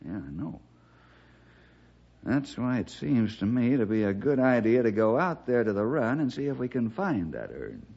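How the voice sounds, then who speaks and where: A male actor playing a calm, inquisitive Western ranger with an American accent and a husky voice in a 1960s radio drama. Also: mid-range voice, moderate pace. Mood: thoughtful. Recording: dull vintage radio recording.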